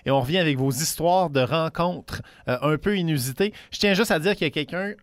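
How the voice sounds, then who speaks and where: A man's voice: a little high, a little fast, no room sound. A man with a Quebec French accent hosting a casual radio chat. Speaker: radio host.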